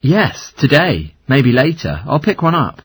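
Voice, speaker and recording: A man playing a polite traveller making inquiries in a scripted dialogue, with a husky voice. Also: medium pitch, very animated, unhurried, no room sound. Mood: polite, curious. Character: polite traveller asking for fare information. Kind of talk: scripted listening-test dialogue.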